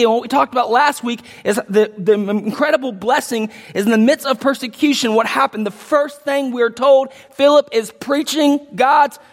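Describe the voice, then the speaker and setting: A man preaching a verse-by-verse sermon, his voice a little lower from illness, earnest with frequent restarts and rising emphasis. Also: long reverb tail. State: sick, voice deeper than usual. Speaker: pastor.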